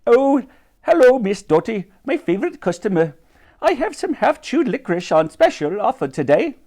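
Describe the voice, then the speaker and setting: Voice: mid-range voice, very animated, moderate pace, a little mellow, pitched above the performer's own voice. A storybook narrator voicing a cheerful, eccentric male market sweet seller with effusive patter. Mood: jolly, effusive. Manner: effusive, hollering salesman patter.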